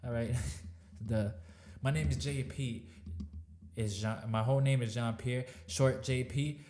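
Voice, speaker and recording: A man's voice: mid-range voice, steady tone, measured pace, mellow. A man in his twenties, raised in Florida, co-hosting a casual podcast and rambling with lots of repeats and casual swearing. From born in New York, raised in Tampa, Florida. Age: 20s. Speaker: podcast co-host.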